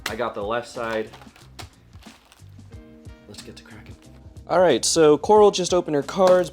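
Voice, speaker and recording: A man from the Seattle area vlogging about opening trading cards at home, his voice hoarse and still recovering, talking in a chatty, rambling way that keeps correcting itself. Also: on the low side, darkish tone. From Seattle area, USA. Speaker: card-collecting vlogger. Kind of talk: casual vlog talk to camera.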